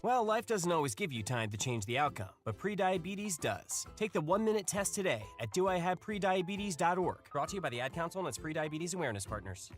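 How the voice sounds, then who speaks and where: A man's voice: on the high side, big pitch swings, fast talker, hardly any pauses, soft background music. A man delivering a scripted voice-over for a public service ad.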